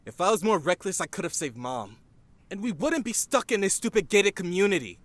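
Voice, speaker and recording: A man's voice: sits high, very animated, a little fast, no room sound. A man acting in an audio drama, playing an impulsive, emotional young man. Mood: frustrated. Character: hotheaded young man, restless and defiant.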